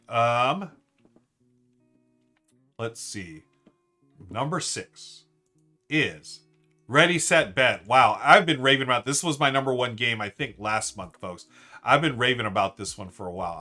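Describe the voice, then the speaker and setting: A man's voice: medium pitch, lively intonation, a little slow, pauses often, sharp tone, faint music behind. A man hosting a casual live stream, ranking board games in an enthusiastic, rambling way with lots of fillers and restarts. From United States.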